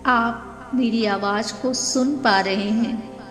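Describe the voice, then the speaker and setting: Slow, echoing woman guiding meditation. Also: a little high, steady tone. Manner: gentle, soothing, unhurried with long pauses. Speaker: meditation guide. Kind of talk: guided meditation in Hindi. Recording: echoey long reverb with music. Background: meditation music.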